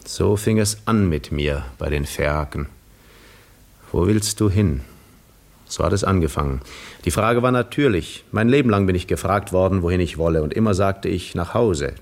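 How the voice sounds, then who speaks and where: An actor playing a weary, worldly wandering castaway hero, middle-aged and gently teasing, with measured, musing delivery in a 1950s German radio drama. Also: male voice, low voice, creaky.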